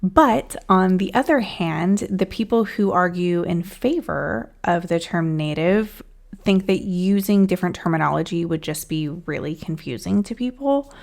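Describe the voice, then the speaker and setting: A woman's voice: on the low side, unhurried, vocal fry. A woman hosting an English lesson, talking thoughtfully to camera and asking many rhetorical questions. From United States. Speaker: English teacher and channel host.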